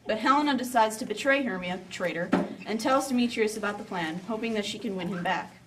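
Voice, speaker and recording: A woman's voice: mid-range voice, a little fast. A woman narrating a story from a script, with playful asides. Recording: slightly distant, faint background noise.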